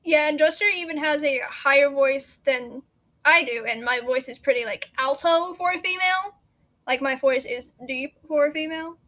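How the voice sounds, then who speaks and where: A woman's voice: high-pitched, moderate pace, bright, forceful tone. A woman chatting casually and explaining things, throwing in little fun facts. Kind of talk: casual chat.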